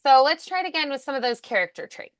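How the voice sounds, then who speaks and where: A woman's voice: sits high, animated, quick pace, dry recording, clean studio sound. A woman speaking as an encouraging voice acting coach in a one-on-one lesson.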